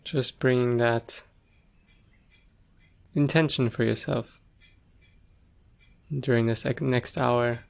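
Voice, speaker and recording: A man leading a guided meditation, speaking gently and leaving long pauses between short phrases. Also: medium pitch, steady tone, creaky. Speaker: meditation teacher.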